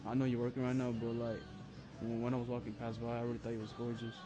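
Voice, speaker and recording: A man's voice: medium pitch, completely flat delivery, fast, lo-fi sound. A young man approaching women in public with eager, repetitive compliments and questions. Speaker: street pickup video maker. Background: music.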